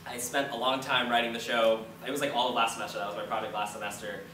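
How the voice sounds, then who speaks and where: A man's voice: mid-range voice, level tone, fast talker, sharp tone. A man in his early twenties with an American accent, a student playwright giving rambling, informal answers on a panel. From United States, Florida. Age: early 20s. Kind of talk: casual panel storytelling and answers. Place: indoor room, public post-show discussion. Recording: very noisy, echoey room, far from mic.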